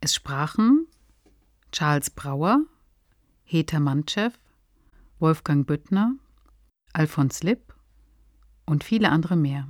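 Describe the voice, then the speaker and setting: A woman's voice: deep voice, animated, takes it slowly, pauses often, no room sound. A woman hosting a radio podcast, presenting and explaining in a studio. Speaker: podcast host. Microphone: close studio microphone.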